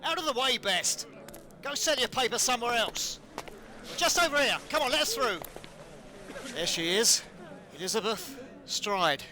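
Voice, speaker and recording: Bright, high-voiced police inspector. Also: male voice, expressive, a little hiss or hum, exaggerated voice. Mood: brisk, commanding. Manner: brisk, commanding. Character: Inspector Abberline, brisk, businesslike male Victorian police inspector. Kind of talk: audio play acting.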